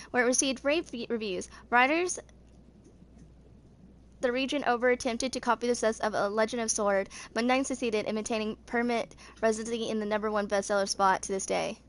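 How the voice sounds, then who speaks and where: A woman's voice: fairly high pitch, fairly bright tone, a little hiss or hum. A woman in her twenties reading story lore aloud in an amateur narration, stumbling now and then over words. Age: 20s. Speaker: video creator reading game character lore.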